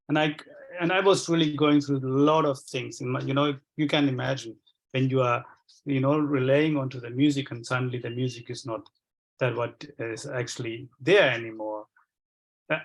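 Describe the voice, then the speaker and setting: Halting man from Sri Lanka, Tamil native. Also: mid-range voice, rough recording. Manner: halting, many restarts and repeated words. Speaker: energy work practitioner. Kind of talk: reflective casual sharing.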